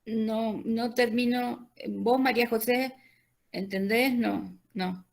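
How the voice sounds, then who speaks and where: An Argentine woman teacher talks a student through her assignment in an online class. She speaks slowly, with a gravelly fry and frequent pauses and fillers. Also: on the high side, steady tone. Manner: slow, hesitant with many fillers.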